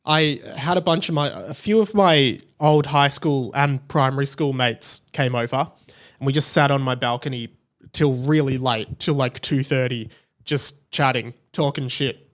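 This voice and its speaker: A man's voice: medium pitch, a little slow. A young Australian man hosting a solo podcast, chatting casually in a rambling, self-deprecating way. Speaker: podcast host.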